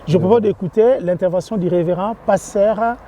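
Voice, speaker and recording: A man's voice: high voice, moderate pace, mellow, slight background noise. A man interviewing a church leader and introducing segments. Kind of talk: TV interview questions.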